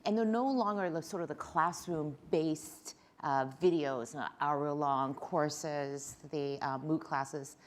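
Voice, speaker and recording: A woman speaking on a conference panel, explaining trends and figures in a thoughtful manner that trails off softly at the ends of her points. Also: sits low, takes it slowly, few pauses. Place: conference stage.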